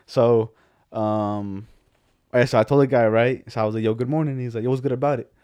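A young man co-hosting a podcast, telling stories in casual chat with an animated, laughing manner. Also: medium pitch, brisk, clear, resonant tone. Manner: animated storyteller, laughs often. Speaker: podcast co-host.

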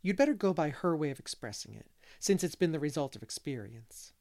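A reader voicing an earnest, thoughtful young schoolteacher in an audiobook, speaking in a calm, reassuring way. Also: mid-range voice, brisk, vocal fry. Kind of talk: audiobook character reading.

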